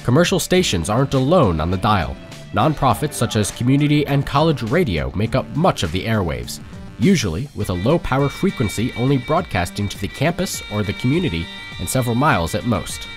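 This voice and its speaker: Lively male documentary narrator. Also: mid-range voice, a little fast. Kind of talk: scripted narration. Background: guitar music.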